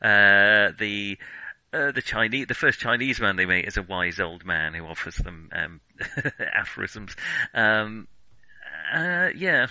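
Male podcast co-host, very bright, sharp voice, dry close sound, chatting casually and hesitantly about films. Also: lowish voice, expressive, slow pace, no room sound, rough recording. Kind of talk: casual film discussion.

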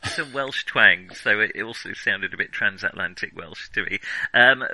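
A man's voice: fairly low pitch, normal speed, bright, forceful tone, no room sound, low-quality recording. A man hosting a casual film discussion, talking in a hesitant, rambling way and often interrupting himself. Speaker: film podcast host.

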